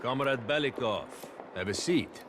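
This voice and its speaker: An actor playing a stern, authoritative Soviet party official in a game cutscene, speaking formally and deliberately. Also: male voice, mid-range voice, expressive, background noise, close to an everyday voice. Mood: formal, commanding. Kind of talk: dramatic video game cutscene acting.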